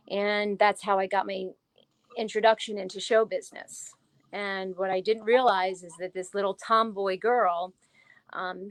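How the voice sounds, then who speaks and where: A woman in her forties from New Jersey, a guest author telling her life story in a casual interview, chatty and animated, laughing often. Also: mid-range voice, no room sound. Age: 40s.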